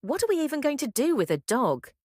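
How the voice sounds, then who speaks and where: A woman's voice: high-pitched, very animated, fast, a little mellow, no echo, studio quality. An actress playing an alarmed, exasperated woman in an audio drama, speaking in emphatic, incredulous questions. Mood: worried, exasperated. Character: alarmed, exasperated young woman, survivor's companion Lucy.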